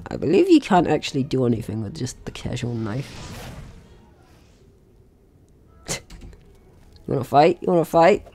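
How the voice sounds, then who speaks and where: A man's voice: medium pitch, sing-song, measured pace, pauses often, mellow, slight background noise. A man giving casual gameplay commentary, chatting through his own actions in short remarks. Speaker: gaming video host.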